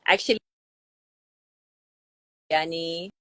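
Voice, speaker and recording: A woman's voice: fairly low pitch, steady tone, very slow, sharp tone, no room sound. A young woman telling her own story as a podcast guest, heartfelt and earnest, with many "you know" and "like" fillers. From South Africa. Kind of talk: personal storytelling in a podcast interview.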